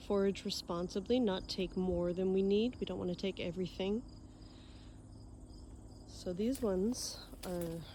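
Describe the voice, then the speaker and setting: Congested, nasal woman talking slowly. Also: mid-range voice, a little hiss or hum. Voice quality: slightly nasal. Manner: relaxed, unhurried with fillers. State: congested with a cold. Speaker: forager and video host. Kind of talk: casual how-to narration. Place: outdoors by an abandoned railway line.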